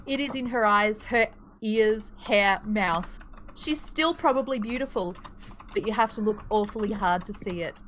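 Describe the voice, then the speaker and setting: A woman's voice: medium pitch, normal speed, fairly bright tone, a little hiss or hum, low-quality recording. Woman narrating a script live. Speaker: reader of stage directions at a live table read.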